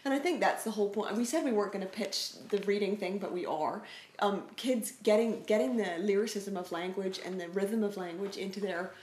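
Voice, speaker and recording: A woman in her forties chats casually to camera as a co-host. Her pitch is medium, and the room around her is audible, with the mic a few feet away. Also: a little fast, darkish tone, a little hiss or hum. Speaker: co-host of a family vlog. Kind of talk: casual book chat to camera. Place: indoors, living room on a couch. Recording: roomy indoor sound.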